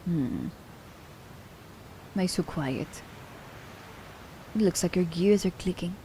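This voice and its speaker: A woman playing a cunning, haughty immortal assassin in an ASMR audio drama, speaking in theatrical, formal diction, breathy and often dropping toward a whisper. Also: fairly low pitch, animated, takes it slowly, warm tone, slight background noise, low-quality recording. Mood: sly, musing. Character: immortal female assassin, cunning, teasing, haughty, romancing her captive.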